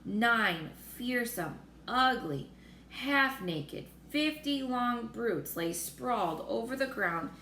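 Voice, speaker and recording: A woman reading a children's story aloud in an expressive narrating style. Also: medium pitch. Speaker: storybook reader. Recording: roomy echo with faint noise.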